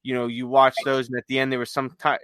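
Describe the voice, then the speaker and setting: A man podcast host talking casually, telling stories in a halting, conversational way. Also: mid-range voice, completely flat delivery, a bit bright, smooth, no room sound. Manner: halting, conversational, retells stories with quoted dialogue. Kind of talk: casual chat, rambling storytelling.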